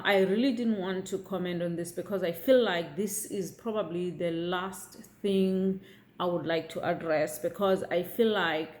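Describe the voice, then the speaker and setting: A middle-aged woman from Africa, giving her views in a casual vlog. She talks in a measured way and often checks in with viewers by asking "okay?". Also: fairly low pitch. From South Africa. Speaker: vlogger.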